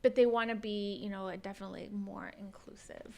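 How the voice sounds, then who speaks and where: A woman's voice: mid-range voice, fairly even intonation, a little fast, gravelly, dark tone. An American woman co-hosting a podcast in a casual, informal chat. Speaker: podcast co-host.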